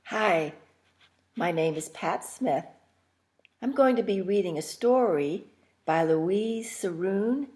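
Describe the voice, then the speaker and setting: A woman reading a first-person holiday memory aloud in short, plain phrases with pauses. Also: mid-range voice, lively intonation, darkish tone. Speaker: story reader.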